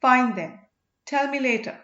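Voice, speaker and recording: Narrator lady doing an eager breathless village wife in a storybook, high voice, echoey room. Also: measured pace, bright, forceful tone. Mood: eager, excited. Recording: echoey room, dull lo-fi sound.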